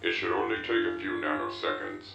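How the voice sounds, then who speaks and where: An assassin droid for a video game, very low and creaky. The delivery is clipped, precise and unfeeling, echoing from a distance under loud music. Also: male voice, animated, a little fast. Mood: detached efficiency. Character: emotionless, efficient male-voiced assassin droid.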